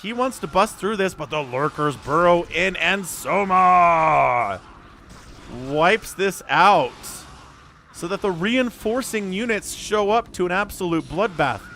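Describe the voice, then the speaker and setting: A man calling a live strategy game match solo, his voice a little hoarse and stuffy from a cold, swinging from calm analysis to loud exclamations. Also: high-pitched, slow pace, a little hiss or hum. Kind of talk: live esports play-by-play commentary.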